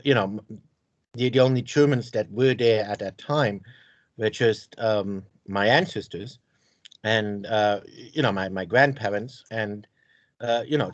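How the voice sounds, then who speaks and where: A man chatting on a video call, halting and stammering with repeated words. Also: on the low side, no echo, lo-fi sound. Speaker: conversation partner. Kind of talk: casual video call chat.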